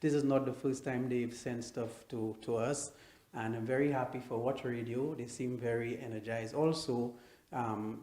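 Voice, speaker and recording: Male interviewee, warm dark voice, halting unscripted talk, roomy echo. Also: mid-range voice. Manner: halting, repeats phrases. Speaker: executive director interviewee. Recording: echoey room.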